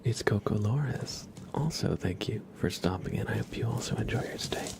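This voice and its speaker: A man hosting an ASMR livestream, speaking in a breathy whisper and soft murmur, soothingly repeating words to viewers at a close microphone. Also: lowish voice, moderate pace, background noise. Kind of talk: ASMR, slow whispered roleplay and chat to viewers.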